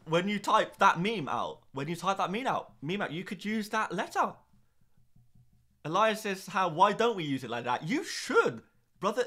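A man's voice: high-pitched, sharp tone. A young man hosting a livestream, chatting casually and reading out viewer comments in a playful, rambling way. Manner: playful, rambling, lots of restarts and laughs. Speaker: livestream host.

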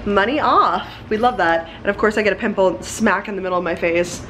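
A woman's voice: medium pitch, fairly quick, a bit bright, echoey room, a little hiss or hum, rough recording. A young woman in her twenties chatting upbeat on a handheld camera at home, casual vlog style. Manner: chatty, upbeat, filler-heavy. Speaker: vlogger. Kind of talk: casual family vlog chat. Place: home living room.

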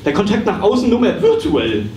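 A man's voice: high-pitched, very animated, brisk, darkish tone. A man playing a muddled, ranting psychotherapist who stammers and exclaims in a live comedy radio play. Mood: exasperated ranting. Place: small dark theatre stage, live. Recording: echoey, noisy stage recording from far off.

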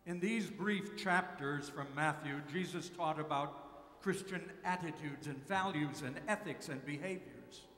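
An older man preaching a sermon from a pulpit, with measured, slowly repeated emphasis. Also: on the high side, fairly bright tone. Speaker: pastor. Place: large church sanctuary at a pulpit. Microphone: pulpit mic, far camera. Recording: echoey church hall.

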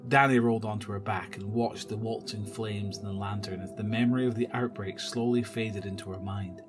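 A man's voice: on the low side, steady tone, brisk, music in the background. A man reading scripted narration for an audio drama.

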